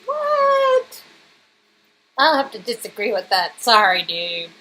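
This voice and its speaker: A woman's voice: fairly high pitch, wildly swooping pitch, slow, sharp tone, slight background noise, low-quality recording. A woman co-reading a story game and bantering casually. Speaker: story co-reader. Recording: music under the voice.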